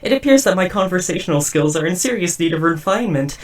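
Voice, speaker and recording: A woman's voice: on the low side, lively intonation, fast, far from the mic, natural voice. A woman playing a socially awkward, devoted but murderous robot house spouse in a roleplay audio drama, speaking in stiff, wordy, formal phrases. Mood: earnest, awkward. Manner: stiff, verbose, overly formal wording. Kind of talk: scripted roleplay audio drama addressed to listener.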